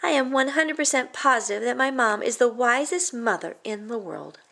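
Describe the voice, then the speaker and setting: A woman with a fairly high voice reads a children's picture book aloud. Her delivery is expressive and animated, shaped for young listeners. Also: moderate pace, gravelly. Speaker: storybook reader.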